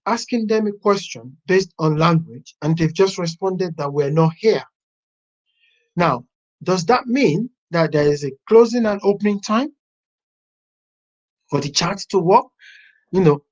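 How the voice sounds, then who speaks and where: A software testing teacher walking a class through a website step by step, thinking aloud as they browse. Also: medium pitch, expressive, measured pace. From Nigeria. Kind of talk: live class walkthrough. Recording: dull lo-fi online class audio.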